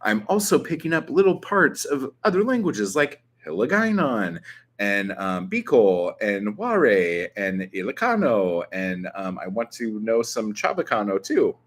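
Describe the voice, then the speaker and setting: Slow, low-voiced Southern Californian man. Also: big pitch swings. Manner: rambling with many ums and likes, swears casually. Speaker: channel host answering viewer questions. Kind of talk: casual vlog chat.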